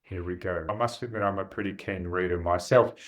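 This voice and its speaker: A man hosting an interview podcast, asking brisk, upbeat questions. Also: deep voice, fairly even intonation, a bit bright. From Australia. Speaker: podcast host.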